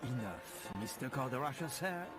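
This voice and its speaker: A man's voice: mid-range voice, lively intonation, normal speed, over music, natural voice. A man playing a timid, polite, exasperated pub landlord in a video game, hesitant and deferential. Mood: apologetic, exasperated. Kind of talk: video game character acting.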